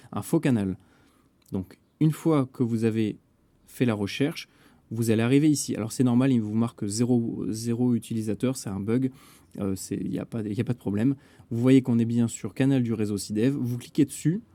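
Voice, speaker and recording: Man, low-pitched, very dark and mellow tone, dry close sound, hesitant step-by-step tutorial delivery. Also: dry recording. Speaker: radio network administrator and tutorial presenter. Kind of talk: casual spoken software tutorial.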